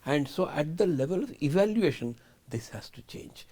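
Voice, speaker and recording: A man's voice: fairly high pitch, lively intonation, moderate pace, mellow. An older man, a guest scientist in a studio interview, arguing emphatically and asking rhetorical questions.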